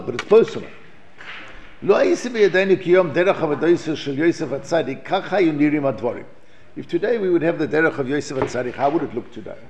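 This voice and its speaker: Gravelly man teaching a Torah text. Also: a little high, darkish tone, a little hiss or hum. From South Africa. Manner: restarts and repeated words while translating. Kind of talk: Torah class, reading Hebrew text and explaining in English. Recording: lo-fi, dull recording.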